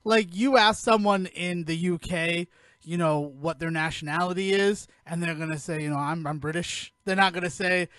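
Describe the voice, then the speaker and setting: A man's voice: fairly high pitch, normal speed, a bit bright, no room sound, clean studio sound. An American man chatting casually on a podcast, informal and opinionated. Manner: opinionated, informal, swears freely. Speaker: podcast host.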